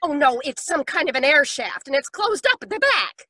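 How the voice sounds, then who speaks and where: A woman's voice: high voice, very animated, normal speed, bright, forceful tone, no room sound, exaggerated voice. A woman playing a panicky, uneducated, brassy woman in old-time detective radio, with melodramatic, slangy working-class speech. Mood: wary, grudging. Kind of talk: 1940s radio detective acting.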